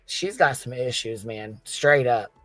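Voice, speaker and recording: A man's voice: mid-range voice, lively intonation, unhurried, fairly bright tone, dry recording, faint music behind. Male live-stream host, casual and profane, medium voice, repeats himself for emphasis, close dry sound. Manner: blunt, heavily profane, exasperated. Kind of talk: casual true-crime reaction chat.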